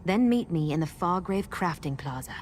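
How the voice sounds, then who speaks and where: An actress playing a guarded, urgent masked woman who protects people from cultists in a fantasy video game, speaking in an earnest, measured way. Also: fairly low pitch, expressive, a little mellow, faint music behind, natural voice. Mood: urgent, businesslike. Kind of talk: video game character dialogue.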